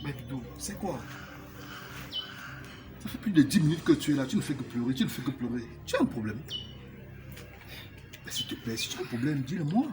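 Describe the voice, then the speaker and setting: A man acting a young, devoted boyfriend in a screen drama, with pitch that moves widely. He pleads and repeats himself, tender but easily outraged, over a clear bed of music. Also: animated, fairly quick, pauses often, close to an everyday voice. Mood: tender, worried. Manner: pleading, repeats his questions.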